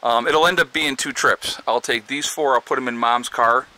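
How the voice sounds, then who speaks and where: A man's voice: medium pitch, fairly even intonation, moderate pace, sharp tone, low-quality recording. A man with a Northeastern American accent, walking around his homestead with a handheld camera and talking through a casual vlog, rambling with many fillers and restarts. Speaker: homesteading vlogger. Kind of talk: casual walk-and-talk vlog. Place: outdoors on a rural homestead.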